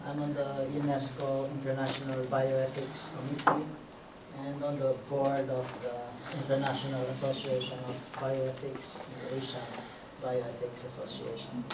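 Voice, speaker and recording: A man from the Philippines who chairs a philosophy department, briskly listing his posts in a self-introduction. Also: mid-range voice, completely flat delivery. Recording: echoey room, distant mic, noisy.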